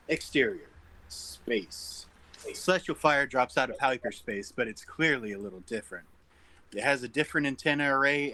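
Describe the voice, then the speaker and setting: Bright, forceful male script narrator. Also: mid-range voice, rough recording. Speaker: narrator reading stage directions. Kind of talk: scripted narration at an informal table read.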